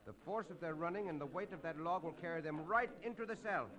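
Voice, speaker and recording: An actor playing a confident, gallant cowboy hero with measured, formal phrasing in an old-time radio western. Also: male voice, fairly high pitch, crowd noise, close to an everyday voice. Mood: commanding. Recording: hissy old radio recording.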